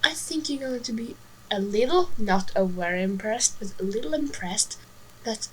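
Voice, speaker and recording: A woman's voice: a little high, animated, normal speed, a little hiss or hum, low-quality recording. A teenage girl from Norway with a Norwegian accent, speaking shyly to her future self in an old home recording.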